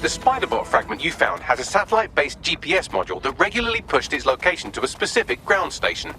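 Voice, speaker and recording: An actor playing a dry, witty British AI assistant in a game, speaking in precise, wordy briefings with a sardonic manner. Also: male voice, a little high, big pitch swings, quick pace, gravelly, sharp tone, faint music behind, heavily acted. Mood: brisk, dry. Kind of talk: game voice acting, mission briefing.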